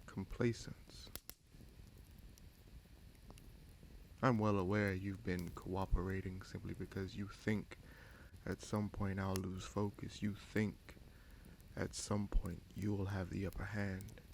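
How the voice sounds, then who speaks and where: Guy doing a smug evil fantasy prince, lowish voice, slow n taunting, bit of noise behind him. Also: little pitch movement, vocal fry, darkish tone. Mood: cold accusing. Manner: slow, deliberate, taunting, with pointed pauses. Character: scheming bastard prince, sorcerer, smug and coolly menacing. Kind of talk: fantasy audio roleplay, dramatic monologue addressed to listener.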